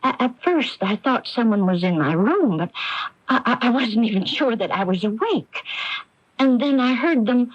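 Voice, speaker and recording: A muffled old radio tape of an anxious middle-aged sister. Her voice is highish and swoops expressively as she frets and stammers timidly through a mystery drama. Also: expressive. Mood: fretful, frightened. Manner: fretful, halting, stammering.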